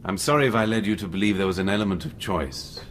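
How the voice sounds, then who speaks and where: An actor playing an urbane, wry British army major with an English accent in a radio drama. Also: male voice, low-pitched, fast, slight background noise, natural voice. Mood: wry, insistent. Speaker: army officer.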